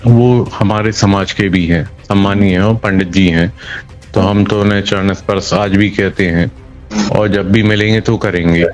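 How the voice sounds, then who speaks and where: A man's voice: fairly low pitch, fairly even intonation, moderate pace, faint music behind. A man answering an interviewer in a colloquial way, often repeating phrases for emphasis. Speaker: local political party member being interviewed.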